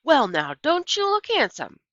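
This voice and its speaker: A woman voicing a gentle, reflective preacher father in a children's story reading, speaking in a measured way. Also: high voice, huge pitch swings, no room sound, dull audio, pitched above the performer's own voice, heavily acted. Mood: pleased. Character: gentle, thoughtful preacher father recalling his wife. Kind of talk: children's audiobook character voice.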